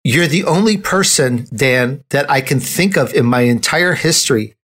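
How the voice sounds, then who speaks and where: A man's voice: medium pitch, animated, moderate pace, a bit bright. Male host, very varied intonation, casual chat, playful teasing. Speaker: interview host.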